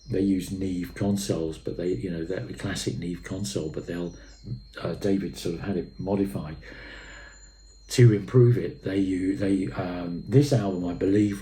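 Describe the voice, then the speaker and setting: A man with a low, mellow voice speaks in a roomy, reverberant space. He delivers an off-the-cuff review full of enthusiasm, leaning on repeated words for emphasis. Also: a little hiss or hum. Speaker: music and tape reviewer. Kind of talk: enthusiastic unscripted review monologue. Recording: echoey room sound.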